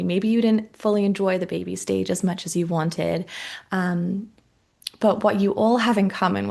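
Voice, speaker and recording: Gravelly-voiced Welsh Canadian woman coach. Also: fairly low pitch. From Wales, raised in Canada. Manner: gentle, encouraging, with many likes and you knows. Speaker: motherhood coach and course founder. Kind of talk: casual masterclass talk to the viewer.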